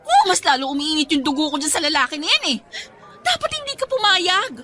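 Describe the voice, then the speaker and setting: A woman's voice: high voice, very animated, a little fast, pushed and bright, heavily acted. An actress playing a loyal, morally firm young woman best friend in a Tagalog radio drama, emphatic and pleading. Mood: indignant.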